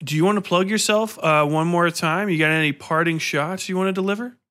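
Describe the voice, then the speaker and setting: A man hosting a podcast in a casual, energetic and informal way, chatting freely and swearing now and then. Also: high voice, fairly quick. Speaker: podcast host and interviewer. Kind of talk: casual podcast chat and sign-off.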